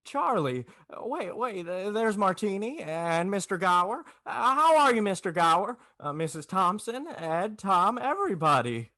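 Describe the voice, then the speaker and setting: A man's voice: high voice, very animated, unhurried, hardly any pauses, bright, forceful tone, no echo, clean studio sound, full character voice. A man playing a flustered, grateful family man in a 1940s radio play, stammering and folksy. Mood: overjoyed, flustered. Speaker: amateur actor.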